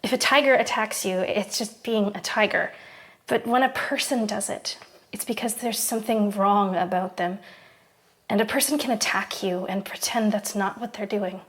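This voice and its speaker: A woman playing a hurt but resolute young woman in an audio drama, at an ordinary pitch. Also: fairly even intonation, moderate pace, natural voice. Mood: bitter, reflective. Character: wounded but resolute young woman confronting her abuser.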